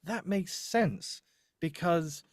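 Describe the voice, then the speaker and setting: A man's voice: sits high, extremely slow, no echo, clean studio sound. A man giving casual professional advice as a demo producer in a live discussion, often correcting himself and repeating phrases. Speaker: voice-over demo producer and host.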